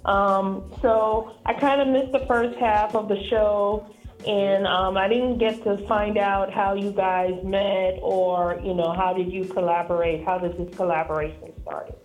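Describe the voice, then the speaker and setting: A woman's voice: mid-range voice, fairly even intonation, measured pace, lo-fi sound, soft background music. Female caller from Atlanta, friendly and rambling, mid-range voice. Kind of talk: call-in question.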